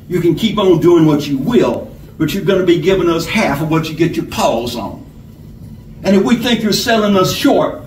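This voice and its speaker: A man voicing a swaggering, menacing highway robber with a rough, folksy, bullying way of talking, in a storybook reading. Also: a little mellow, lightly acted. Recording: roomy, distant, noisy home recording.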